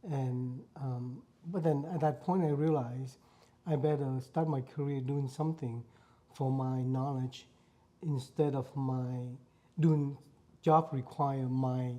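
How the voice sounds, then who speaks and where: Vietnamese-accented male guest in his fifties, deep mellow tone, earnest storyteller. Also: steady tone, takes it slowly. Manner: earnest, repeats phrases while recalling. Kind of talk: casual conversation.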